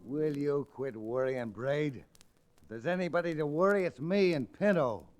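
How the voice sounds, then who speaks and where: An actor playing a smug, scheming cattle boss villain with an American accent in a 1940s radio western. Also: male voice, on the high side, moderate pace, faint music behind, close to an everyday voice. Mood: dismissive. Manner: smug, conniving.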